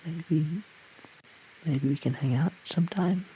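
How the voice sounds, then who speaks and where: A man's voice: on the high side, unhurried, mellow, voice pushed up, natural voice, poor audio. A man voicing a shy, awkward, friendly slime creature, stammering hesitantly in an audio roleplay addressed to the listener. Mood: bashful, flustered. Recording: hissy background noise.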